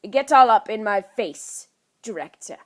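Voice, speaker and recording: A woman's voice: medium pitch, lively intonation, unhurried, a bit bright. A woman voicing a haughty, sarcastic trickster god trapped in a small boy's body, speaking formally and indignantly in a storybook reading.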